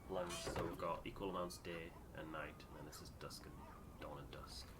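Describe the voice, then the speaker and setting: A man's voice: low-pitched, fairly even intonation, moderate pace, very noisy. A man hosting a casual let's play, chatting as he explains the game. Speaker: host playing and explaining the game. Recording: loud music behind the voice.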